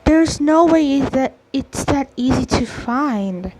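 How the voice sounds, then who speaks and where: A woman giving casual gameplay commentary in a halting way, sometimes repeating phrases. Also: sits high, animated, darkish tone, low-quality recording. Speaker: gamer commenting on a playthrough.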